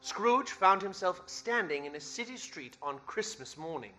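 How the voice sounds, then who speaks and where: A man narrating a scripted radio play in an expressive, theatrical storytelling style. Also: high voice, normal speed, faint music behind.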